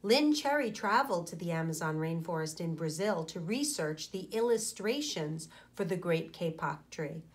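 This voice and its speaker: A woman in her forties, a teacher reading aloud to children and explaining, with deliberate, pausing phrasing. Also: mid-range voice.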